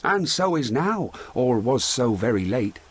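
Steady sea captain, husky voice. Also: male voice, medium pitch, measured pace. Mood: earnest, reassuring. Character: respectful, steady sea captain who survived a shipwreck. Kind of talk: Shakespearean audio drama.

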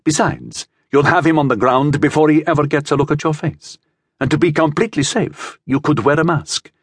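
A man voicing an impatient, insinuating gentleman schemer in an audiobook adventure tale. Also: mid-range voice, very animated, moderate pace, no room sound, clean studio sound, pitched above the performer's own voice. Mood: impatient persuasion. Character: smooth impatient cloaked gentleman hiring a killer.

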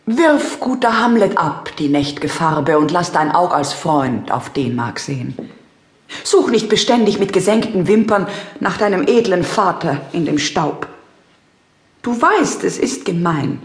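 Low, coaxing, regal queen. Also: animated, unhurried, reverberant. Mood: gently reproving. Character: pleading, regal middle-aged queen and mother. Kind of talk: classical audio drama acting.

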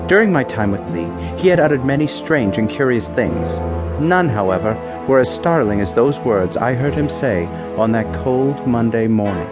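An actor playing a proper, inquisitive Edwardian gentleman, polite and formal, in a radio drama. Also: mid-range voice, animated, moderate pace, over music, natural voice. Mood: solemn narrating. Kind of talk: radio drama acting and narration.

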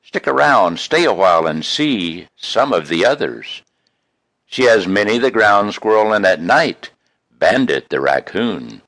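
Low voiced guy reading his own poems real slow, folksy and expressive, dry close mic. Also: no room sound, low-quality recording. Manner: folksy, homespun. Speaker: poet reading own work. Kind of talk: expressive poetry recital with casual introductions.

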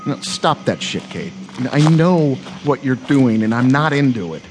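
A man playing a crude, easily spooked young stoner camper in a live horror audio drama, profane, slangy and excitable. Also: medium pitch, unhurried. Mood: nervous, irritated. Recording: clearly noisy live recording with ambience.

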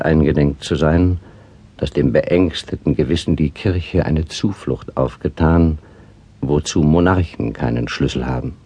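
A calculating priest at a royal court. His voice is very low, dark and a little raspy. He addresses his betters with measured, insinuating deference in classical drama style. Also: full character voice. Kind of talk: classical German stage drama acting.

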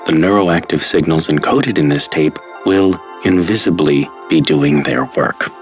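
An extremely deep-voiced hypnotist guides the listener through a tape in an audio drama. He is calm and controlling, with slow, deliberate, soothing phrasing. The sound is dull and lo-fi like a cassette, with music underneath. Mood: measured, instructive. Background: faint music.

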